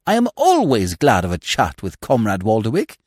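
A suave male gentleman of a comic storybook as a man reads him, unflappable and blandly cordial. His grandiose, florid speech rises and falls very theatrically, in a dry close recording. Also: lowish voice, huge pitch swings, normal speed, no room sound. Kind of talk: audiobook character voice.

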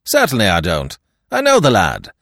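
A man's voice: on the low side, wildly swooping pitch, normal speed, gravelly, fairly bright tone, no echo, heavily acted. A man voicing a loyal, blunt English secretary in an audiobook, dry and forthright in manner. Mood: dry, firm. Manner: blunt, colloquial.

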